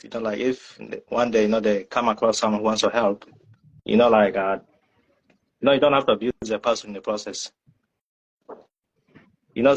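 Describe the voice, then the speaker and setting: A man's voice: medium pitch, dull audio. A man from South Sudan answering interview questions casually, hedging with many "like" and "you know" fillers. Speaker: guest.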